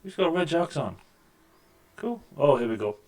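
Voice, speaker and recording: A man with a deep voice talks through a video game as he plays it. He keeps up a casual, wry running commentary into a desk microphone at home, cracking dry jokes about what he sees on screen. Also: big pitch swings, normal speed, a little mellow. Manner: wry, joking asides over gameplay. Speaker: gaming channel host. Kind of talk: casual let's-play commentary. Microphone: desk microphone with mixer.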